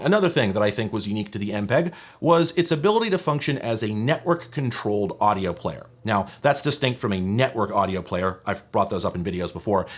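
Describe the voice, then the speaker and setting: A man presenting tech in a scripted but conversational narration, enthusiastic, wry and emphatic. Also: medium pitch.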